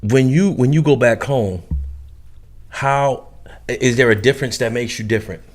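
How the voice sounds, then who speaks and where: A man's voice: mid-range voice, moderate pace, slight background noise. A man hosting a casual group discussion, posing questions in informal, slangy language and checking that everyone follows. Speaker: podcast host, moderator.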